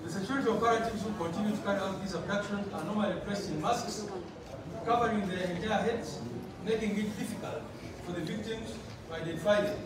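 A man giving formal prepared testimony to a parliamentary committee, reading in a measured way and addressing the chair, recorded from a distance in a large wood-panelled room. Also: high-pitched. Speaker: party representative presenting to a parliamentary committee. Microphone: far camera. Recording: echoey room recorded from afar with noise.

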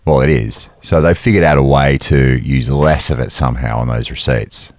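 A man's voice: rumbling low, fairly even intonation, normal speed, dry recording, studio quality. A man, co-hosting a podcast, telling stories in emphatic, casual chat and laughing easily. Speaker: podcast co-host.

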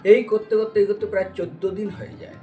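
A man's voice: sits high, fast. A man giving a devotional lecture as a story, acting out dialogue and putting questions to his listeners. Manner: animated retelling with acted dialogue and questions to listeners. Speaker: religious preacher and storyteller. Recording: distant mic, music behind the voice.

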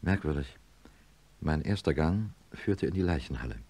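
A middle-aged professor of surgery telling his story in a radio play. His extremely deep, mellow voice is husky, and he narrates in a sober, measured manner. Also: animated, no room sound. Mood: shaken. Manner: measured, matter-of-fact. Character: sober male neurosurgeon professor recounting his experiment. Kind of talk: first-person radio drama narration.

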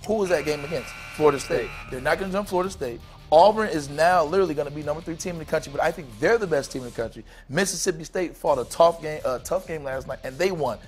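A man in his thirties argues his points with animated energy, rattling off teams in quick lists. His voice is somewhat high, and faint music plays behind him in the studio. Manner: animated, argumentative, rapid lists. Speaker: studio analyst, former player. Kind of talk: studio sports talk. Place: studio set with couches. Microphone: lapel.

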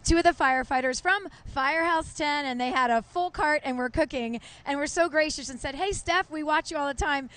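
Female TV field reporter, middle-aged, high voice, effusive and chatty, live remote on a handheld mic. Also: fairly quick, hardly any pauses, a bit bright. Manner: gushing, effusive. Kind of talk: live on-location interviewing, chatty. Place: outdoors at a fire station. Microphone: handheld reporter microphone.

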